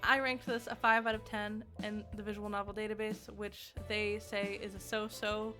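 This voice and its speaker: A woman's voice: medium pitch, level tone, normal speed, sharp tone, faint music behind. A woman giving a casual, conversational video game review in a giggly, self-deprecating manner.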